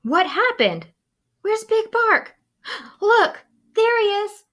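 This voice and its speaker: Very slow, animated woman reading aloud. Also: very high-pitched, a little hiss or hum. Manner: expressive, deliberate, with dramatic pauses and hushed moments. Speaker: school librarian reading aloud. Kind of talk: dramatic children's storybook reading.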